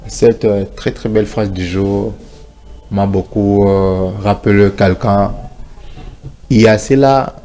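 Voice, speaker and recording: A man's voice: lowish voice, steady tone, slow, mellow. A man co-hosting a morning TV show, giving earnest advice in a casual studio chat and slipping into Lingala.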